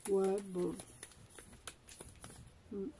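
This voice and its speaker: A woman's voice: medium pitch, flat delivery, extremely slow, warm tone, slight background noise. A young woman with a cold and a stuffy voice, chatting casually as she unboxes beauty products, hesitant and full of fillers and restarts. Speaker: beauty vlogger unboxing an advent calendar.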